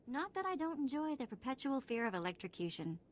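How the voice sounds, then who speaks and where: A woman's voice: high-pitched, very animated, brisk, slight background noise. A woman playing a cheeky young female sidekick in a video game, casual and playfully sarcastic. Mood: wry, hopeful. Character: wry, cheeky young female companion, freed slave. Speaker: voice actor in a game. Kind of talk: cinematic video game acting.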